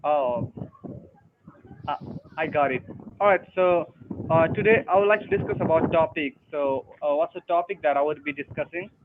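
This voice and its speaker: A man's voice: fairly high pitch, moderate pace, background noise. A young man who is learning English, talking hesitantly with many fillers on an online tutoring call. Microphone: video call. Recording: tinny phone-like call audio.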